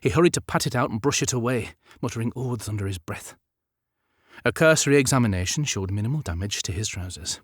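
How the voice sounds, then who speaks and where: A man's voice: mid-range voice, lively intonation, quick pace, slightly rough, dry recording, clean studio sound. A man narrating a period romance audiobook as scripted fiction.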